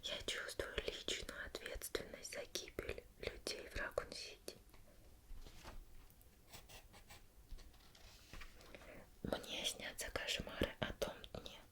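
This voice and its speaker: A whispered ASMR roleplay voice: a woman playing a female investigator who questions patiently and formally. Every phrase is hushed, measured and gentle. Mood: calm, instructive.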